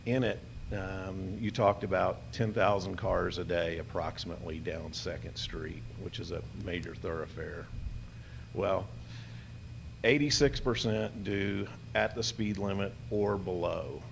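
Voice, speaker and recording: Low gravelly guy at a city meeting podium, polite, lots of ums, noisy room. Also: unhurried. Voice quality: gravelly, low voice with hesitant fillers. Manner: earnest and polite, with many ums. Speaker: local business owner speaking at public comment. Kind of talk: public comment at a city meeting. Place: city commission chamber. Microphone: podium microphone.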